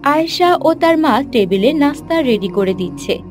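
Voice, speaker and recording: A woman's voice: sits high, animated, normal speed, faint music behind. A woman narrating a scripted children's story as the narrator.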